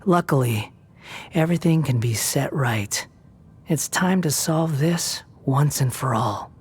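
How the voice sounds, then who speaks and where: An actor playing an aloof, proud young wandering god in a video game, speaking with a cool, dry, mocking delivery. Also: male voice, medium pitch, animated, takes it slowly, breathy edge, heavily acted. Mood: scornful. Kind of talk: video game cutscene dialogue.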